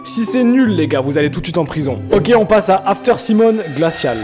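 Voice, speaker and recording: A man's voice: high voice, lively intonation, brisk, over music. A man from France doing casual, playful live commentary on rap songs, full of slang and self-mockery. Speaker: streamer reviewing viewers' rap songs.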